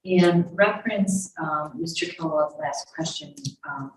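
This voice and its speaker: A woman working as an attorney, questioning in court in a measured, formal manner. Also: fairly low pitch, expressive, creaky. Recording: echoey courtroom, distant mic, faint noise.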